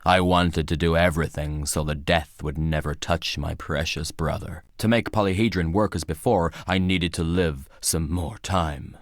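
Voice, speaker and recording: A solemn old judge for a video game, speaks in a low-pitched voice with very little room sound. He is weary and cryptic, and each line comes out as a grave, formal pronouncement. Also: steady tone, normal speed, hardly any pauses, no room sound, studio quality. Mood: weary, philosophical. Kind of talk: dramatic video game voice acting.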